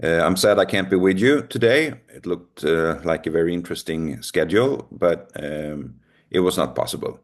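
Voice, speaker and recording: A man's voice: low-pitched, moderate pace. A middle-aged Swedish man with a Swedish accent, speaking English as a second language, giving a recorded lecture with frequent ums and uhs. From Sweden. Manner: hesitant, many ums and uhs, self-deprecating.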